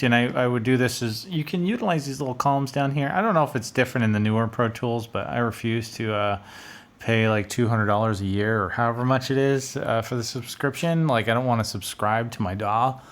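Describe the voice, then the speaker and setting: A middle-aged man giving casual, informal commentary as a video host, rambling and thinking aloud into a close studio mic. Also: medium pitch. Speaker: music producer and video host. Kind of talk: casual screen-share commentary. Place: small home studio room, indoors. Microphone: studio condenser on boom arm, close.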